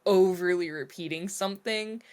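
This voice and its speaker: A woman's voice: on the low side, moderate pace, darkish tone. A woman co-hosting a podcast, casually reviewing music and thinking aloud as she talks. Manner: conversational, thinking aloud with frequent "like". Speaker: podcast co-host. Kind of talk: casual album review chat.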